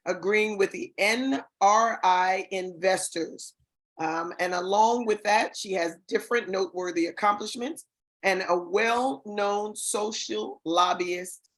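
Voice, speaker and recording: Effusive woman hosting an online award show. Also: medium pitch. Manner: warm, effusive, stumbles over names and words. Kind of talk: reading award introductions, online ceremony. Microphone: video call.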